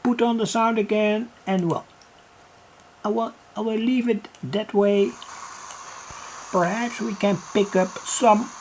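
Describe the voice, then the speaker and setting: A man giving an unscripted hobby radio demonstration, halting and thinking aloud as he works. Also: high voice, fairly even intonation, a little mellow, a little hiss or hum, low-quality recording.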